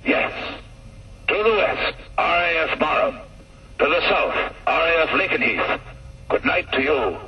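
A man's voice: on the high side, lively intonation, a little hiss or hum, pitched above the performer's own voice. Man doing curt RAF officers on a tinny phone. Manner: clipped, brisk and officious. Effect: telephone line. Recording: muffled distant phone-line sound.

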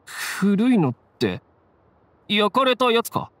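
An actor playing a defiant, rough-talking low-ranking bandit in a video game. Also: male voice, very animated, a little slow, a bit bright, heavily acted. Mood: grudging. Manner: rough, coarse, blustering speech. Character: defiant low-ranking bandit gang grunt, adult man. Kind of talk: Japanese game voice acting.

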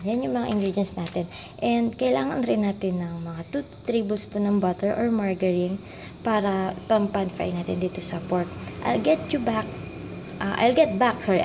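A woman's voice: medium pitch, gravelly, lo-fi sound, a little hiss or hum. A young Filipino woman with a Filipino accent, hosting a casual cooking tutorial in her home kitchen, switching between English and Tagalog with frequent ums. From Philippines. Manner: mixes English and Tagalog, hesitant with many ums. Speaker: cooking vlogger.

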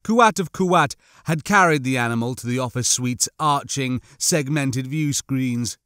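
A man reading a science fiction audiobook as scripted, expressive narration. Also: mid-range voice, measured pace, clean tone, no echo, clean studio sound.

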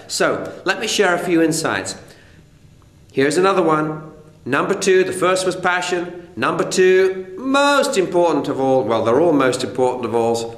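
A man's voice: on the high side, fairly bright tone, roomy sound. A middle-aged man giving presentation advice straight to camera, emphatic and full of rhetorical questions. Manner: emphatic, rhetorical questions, addresses viewers as friends. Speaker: keynote speaker. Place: hotel room indoors.

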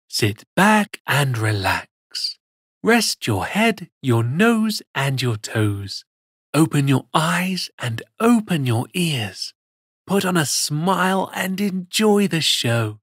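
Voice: mid-range voice, sing-song, takes it slowly, breathy edge, no room sound, studio quality, heavily acted. A performer voicing a cheerful plush cat who reads picture books to children in a theatrical, sing-song way. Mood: cheerful, welcoming. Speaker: storyteller host. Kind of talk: expressive children's picture-book read-aloud.

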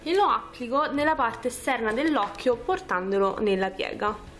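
A woman's voice: fairly high pitch, expressive, normal speed, over music. A woman, casually talking through a makeup tutorial step by step in an informal, chatty way. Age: 20s. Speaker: beauty vlogger. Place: small furnished room at home, indoors.

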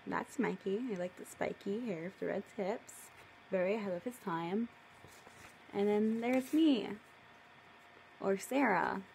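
A woman's voice: mid-range voice, unhurried, creaky, pauses often, darkish tone, slight background noise, low-quality recording. A young woman casually reading her own story aloud on camera, breaking off for playful, mock-indignant asides. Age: young adult. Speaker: video creator. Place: living room at home, indoors.

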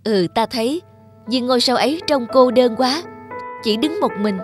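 A man playing a shy young peasant in love in a cartoon fairy tale, hesitant and soft-hearted. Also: very high voice, moderate pace, music in the background. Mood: tender. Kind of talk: animated fairy tale acting.

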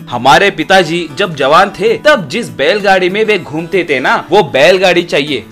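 A man playing a confident, dutiful and polite son in an animated story, speaking earnestly. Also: high voice, moderate pace, faint music behind. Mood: firm, assured. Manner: earnest, assured.

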